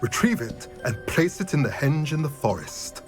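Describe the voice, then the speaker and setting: A man's voice: a little high, big pitch swings, normal speed. A man voicing a stern, wise old centaur in a video game, speaking in a grave, commanding, formal way. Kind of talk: dramatic video game voice acting. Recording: loud music behind the voice. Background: music.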